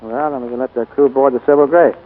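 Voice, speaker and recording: An actor playing a tough, distrustful navy commander, terse and matter-of-fact, in 1940s radio drama style. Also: male voice, medium pitch, fairly even intonation, fast, lightly acted. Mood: commanding. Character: tough, distrustful American navy commander narrating his story. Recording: tinny, hissy 1940s radio recording.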